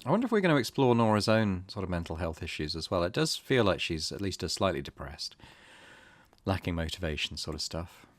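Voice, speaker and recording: Low-voiced guy doing a chill let's play, thinking out loud, pitch moves around a lot. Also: very animated, brisk, dry recording. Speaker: gaming video host. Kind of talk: casual let's-play commentary, reading game text aloud.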